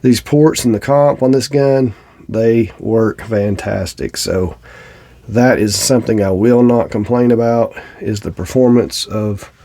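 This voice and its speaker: A man's voice: fairly low pitch, unhurried, warm tone. A middle-aged man with a Southern US accent and a slightly stuffy nose, chatting plainly and casually to viewers in a review. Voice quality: slightly congested, stuffy-nosed. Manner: plainspoken, folksy. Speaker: shooting channel host.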